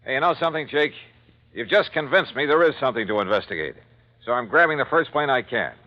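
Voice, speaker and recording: An actor playing a wisecracking hardboiled insurance investigator who narrates a 1950s radio drama in a wry, clipped way. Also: male voice, mid-range voice, lively intonation, quick pace, no echo, lightly acted. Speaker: narrator and lead. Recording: dull vintage radio broadcast with faint music.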